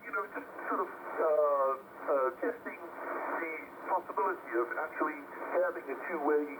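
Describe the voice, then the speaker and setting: Through clear radio static comes a fairly high-pitched man's voice, an amateur operator in casual conversation over the air. He is courteous and unhurried in how he builds his thoughts, often hesitating and wandering a little as he goes. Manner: polite, hesitant, rambling with pauses. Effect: transmitted over amateur radio. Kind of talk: casual two-way ham radio chat. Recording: very noisy shortwave-style radio reception, loud static. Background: loud radio hiss and static.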